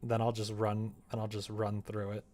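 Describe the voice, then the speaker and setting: A man's voice: on the low side, completely flat delivery, normal speed, a little mellow, slight background noise. A man livestreaming casually as he works, chatting and muttering to himself while he concentrates. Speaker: live streamer. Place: indoors at a computer.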